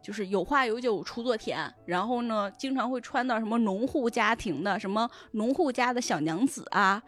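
A woman's voice: a little high, moderate pace, faint music behind. A woman chatting as a podcast guest, explaining step by step in colloquial language and often checking in with "right?". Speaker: guest web-novel editor on a podcast. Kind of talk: casual explanatory podcast chat.

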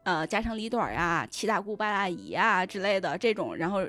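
A woman's voice: mid-range voice, very animated, a little fast, a bit bright, soft background music. A woman chatting as a podcast guest, explaining step by step in colloquial language and often checking in with "right?". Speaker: guest web-novel editor on a podcast. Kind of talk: casual explanatory podcast chat.

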